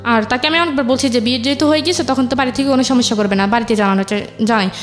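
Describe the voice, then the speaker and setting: A young Bangladeshi woman speaks in a fairly high voice, telling a personal story as an interview guest. She goes along haltingly, repeating phrases as she recounts things. Music plays behind her.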